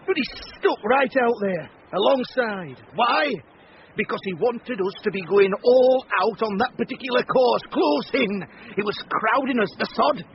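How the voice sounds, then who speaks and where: An actor playing a furious, vengeful boat owner in a radio drama. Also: male voice, sits high. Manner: blustering, swearing, sarcastic. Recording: muffled radio recording with loud outdoor noise.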